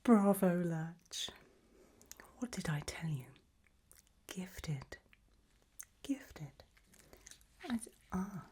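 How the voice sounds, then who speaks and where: A woman playing an elegant, macabre aristocratic lady in a whispered ASMR roleplay, breathy, languid and serenely gracious. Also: fairly low pitch, lively intonation, warm tone, exaggerated voice. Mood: languid delight. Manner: slow, languid, genteel.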